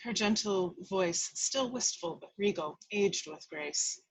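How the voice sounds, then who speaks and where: A woman's voice: medium pitch, lively intonation, slow pace, vocal fry, breathy edge, rough recording. A woman reading stage directions as the narrator of a script table read, in a dramatic storytelling style.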